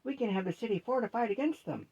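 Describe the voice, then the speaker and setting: A man voicing an excited, triumphant gnome fighter in a storybook reading, exclaiming with enthusiasm. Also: high voice, quick pace, creaky, husky, pitched above the performer's own voice, heavily acted, low-quality recording. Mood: eager.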